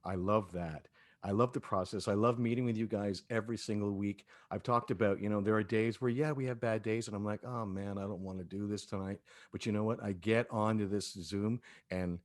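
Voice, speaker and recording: Male podcast host, lowish voice, dry sound, informal cast conversation. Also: no room sound. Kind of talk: casual cast talkback chat. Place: remote video call.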